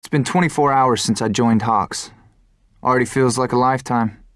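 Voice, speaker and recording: Actor as a brooding young fighter pilot, dry close sound. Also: male voice, mid-range voice, moderate pace, fairly bright tone, no room sound, lightly acted. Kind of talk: scripted game cutscene narration and dialogue.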